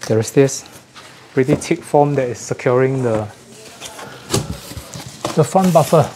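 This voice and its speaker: A man giving a casual unboxing chat as a host, often pausing to rephrase himself. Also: medium pitch, noisy background. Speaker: host of an audio gear channel.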